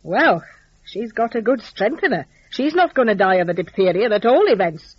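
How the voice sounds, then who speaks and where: A woman voicing a brisk, annoyed male doctor in a story, with an authoritative manner. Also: mid-range voice, animated, breathy edge, muffled recording. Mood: put out.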